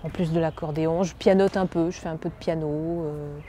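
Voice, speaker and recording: A young woman from France, an actress, speaking in a slightly low, mellow voice. She muses aloud, halting often and circling back over her words. Faint background noise sits under her voice. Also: steady tone. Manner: musing, halting, many fillers and restarts. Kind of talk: self-presentation interview.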